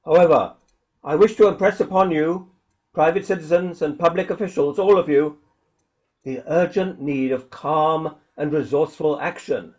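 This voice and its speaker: A man playing a solemn government secretary addressing the nation in a deliberate, oratorical speech for a radio play. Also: a little high, darkish tone, natural voice, low-quality recording. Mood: solemn, resolute. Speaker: government official giving an address. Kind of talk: formal radio drama political speech.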